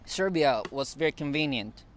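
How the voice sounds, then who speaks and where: A man whose first language is Mandarin, speaking English with a Chinese accent in a casual interview, pausing often with fillers and restarts and chuckling now and then. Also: fairly high pitch, animated, slight background noise. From China. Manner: halting non-native English with frequent fillers and restarts. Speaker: interview guest.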